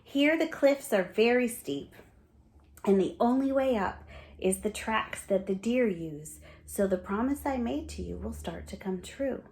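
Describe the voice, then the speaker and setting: Woman reading as a kind cheery shepherd guy, gentle and reassuring. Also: on the high side, animated, a little slow. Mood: cheerful.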